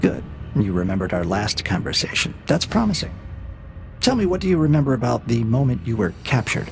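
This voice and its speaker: A cold interrogator AI with a male voice, played by an actor, creaky and gravelly at an ordinary male pitch. It speaks in measured, condescending probes with clinical menace, as in a scripted audio drama, with clear music underneath. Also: sing-song. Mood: clinical taunting.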